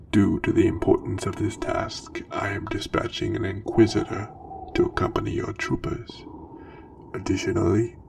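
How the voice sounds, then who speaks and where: A man voicing a menacing armored dark lord who gives formal battle orders in a measured, breathy ASMR roleplay. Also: deep voice, recorded from a distance, noisy background, heavily acted. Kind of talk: soft ASMR roleplay, scripted military briefing.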